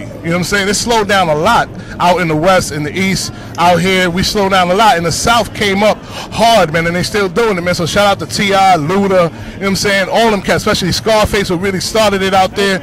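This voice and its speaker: Streetwise New York DJ, high voice. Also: male voice, slight background noise, rough recording. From New York, the Bronx. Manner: streetwise slang, fillers like "you know what I'm saying", hype shout-outs. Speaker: DJ interviewed on the street. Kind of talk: casual street interview. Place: outdoors on a city street.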